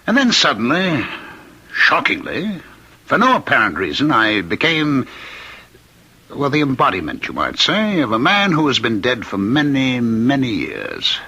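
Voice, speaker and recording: An actor in a radio drama playing a cultured, eerily courteous condemned murderer who was once a professor, speaking in deliberate, theatrical phrases. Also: male voice, mid-range voice, huge pitch swings, bright, forceful tone, breathy edge, highs cut off, heavily acted. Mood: intense, haunted.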